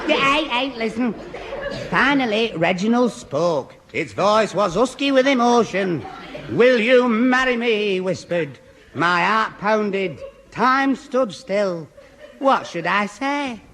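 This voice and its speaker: Slow-talking gormless northern lad. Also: male voice, sits high, animated, fairly bright tone, some chatter behind, exaggerated voice. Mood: mock-romantic. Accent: northern English. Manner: slow, dopey, stumbling when embarrassed. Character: gormless, easily flustered northern teenage lad, the boy's pal. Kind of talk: 1960s radio sitcom acting. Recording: old radio broadcast with faint hiss.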